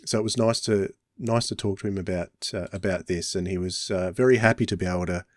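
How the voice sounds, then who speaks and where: Lowish-voiced man hosting a podcast. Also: fairly even intonation, a little fast, no room sound, studio quality. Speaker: podcast host. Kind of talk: casual podcast discussion.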